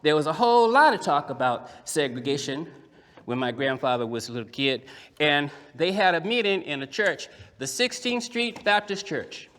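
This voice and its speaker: A man performs as a lively young boy in a puppet show, with a slightly high voice that swings widely in pitch. He tells his story emphatically, pausing for effect and speaking straight to young listeners. The sound rings with the long reverb of a hall. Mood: explanatory. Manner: emphatic, deliberate pauses, conversational address to children.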